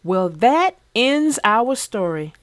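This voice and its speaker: A woman reading a children's book aloud in an animated, expressive, sing-song way. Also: fairly high pitch, slow pace, no echo. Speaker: storyteller.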